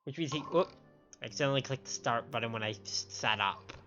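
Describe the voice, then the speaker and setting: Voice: mid-range voice, a little fast, fairly bright tone. Stumbling game streamer over music, dull sound. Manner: reads scripted lines aloud, stumbling over words. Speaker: streamer reading game dialogue aloud. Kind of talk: live game stream commentary and read-aloud. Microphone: microphone on a stand.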